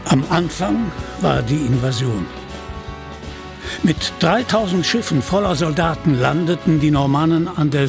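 A man narrating a scripted radio play in a measured, deliberate storytelling manner, with a husky voice. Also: on the high side.

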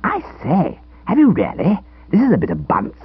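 A man's voice: fairly high pitch, huge pitch swings, normal speed, breathy edge, no echo, narrow, tinny audio, pitched above the performer's own voice, exaggerated voice. A man reading an audiobook and voicing a smug, grubby young boy scout who keeps pestering with chirpy insistence. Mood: eager pestering.

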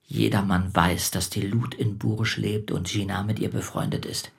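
An actor playing a lonely, withdrawn immigrant shopkeeper in a radio play, answering tersely, hesitantly and defensively. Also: male voice, lowish voice, steady tone, normal speed, gravelly, slightly rough. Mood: quietly evasive. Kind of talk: naturalistic German radio play acting.

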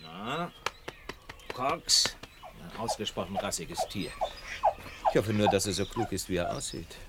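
A man in a radio play acting a shrewd, self-assured detective who lectures in a measured, wry, teasingly superior way. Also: fairly low pitch, lively intonation, heavy background noise. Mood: wry, scheming.

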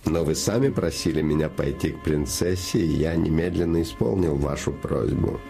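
A man's voice: rumbling low, moderate pace, vocal fry, dark tone. An actor playing a man's scheming living shadow that poses as a devoted friend, insinuating, solemn and emphatic, in a theatrical fairy-tale play. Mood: smooth devotion. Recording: music behind the voice.

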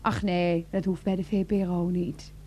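A woman acting a sharp-tongued, mocking woman in a satirical radio play, with a sarcastic, bossy, theatrical delivery. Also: fairly low pitch, level tone, normal speed, natural voice. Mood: sarcastic, needling.

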